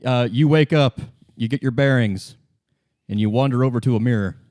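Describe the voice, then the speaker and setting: A man's voice: mid-range voice, normal speed, darkish tone, no echo, studio quality. A man narrating a tabletop role-play as game master in a conversational style.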